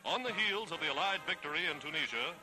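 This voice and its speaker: A man's voice: fairly high pitch, lively intonation, quick pace, poor audio. A man narrates a wartime newsreel in a formal, declamatory announcer style, on a vintage 1940s film soundtrack. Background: loud orchestral newsreel music.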